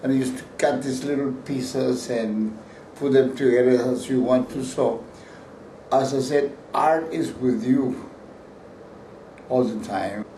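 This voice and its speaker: A man's voice: medium pitch, slow pace, warm tone. An older man from Peru, a painter whose first language is Spanish, answering interview questions at length with restarts and repeated words. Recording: roomy, distant mic, faint background hiss.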